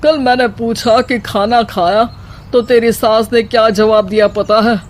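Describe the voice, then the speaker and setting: A woman's voice: fairly high pitch, steady tone, measured pace, close to an everyday voice. A woman voicing a helpless, complaining elderly father-in-law in a cartoon moral story. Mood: exasperated. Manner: complaining, put-upon. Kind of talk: animated moral story dialogue. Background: background music.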